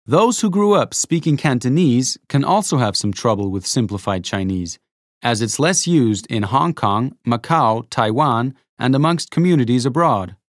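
A man reading a scripted educational narration, explaining clearly and adding rhetorical asides. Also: mid-range voice, expressive, moderate pace, dry recording, studio quality.

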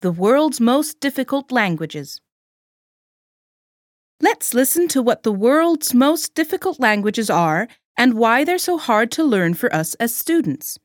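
Woman, scripted intro narration, higher voice, animated intonation. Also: unhurried, dry recording, clean studio sound.

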